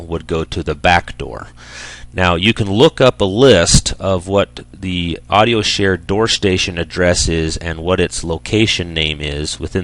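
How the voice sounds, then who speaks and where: A man giving an unscripted software tutorial as an instructor, explaining things step by step in a voice-over. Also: sits low, no echo.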